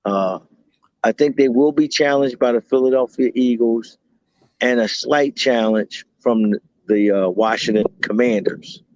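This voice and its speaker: A man co-hosting a podcast, chatting casually about football, talking in quick bursts and repeating himself. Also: medium pitch, no echo, dull audio. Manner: animated, talks in bursts with repeats. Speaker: podcast co-host. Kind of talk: casual sports chat.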